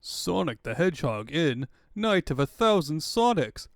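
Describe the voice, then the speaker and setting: Man narrating a comic, unhurried and dry. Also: fairly high pitch, very animated, gravelly, dry recording.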